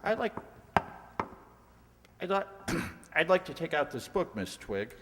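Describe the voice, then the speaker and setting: A man's voice: a little high, sing-song, slow pace. A man acting a distracted, evasive young college professor in a radio play, hesitant and mumbling. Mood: uneasy, evasive. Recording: reverberant hall.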